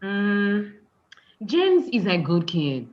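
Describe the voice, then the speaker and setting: A woman's voice: mid-range voice, wildly swooping pitch, extremely slow, dull audio, heavily acted. A woman playing an older Haitian immigrant mother with a Haitian accent in a comedy table read. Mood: plain, earnest.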